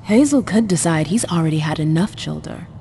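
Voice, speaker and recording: A woman's voice: low-pitched, lively intonation, brisk, gravelly, mellow, a little hiss or hum. A woman playing a cool, world-weary vampire club owner in a video game, sardonic and self-assured.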